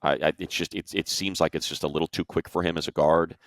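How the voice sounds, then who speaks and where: A man's voice: rumbling low, steady tone, fast, fairly bright tone, no room sound, clean studio sound. An American man giving casual sports analysis in an emphatic way, repeating himself for stress. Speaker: sports show analyst, play-by-play announcer.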